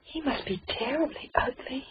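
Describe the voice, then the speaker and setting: An actress playing a timid, excitable, naive young American woman in an old-time radio drama, with a girlish, polite manner. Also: high voice, normal speed, noisy background. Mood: hushed wonder. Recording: muffled old radio recording with music.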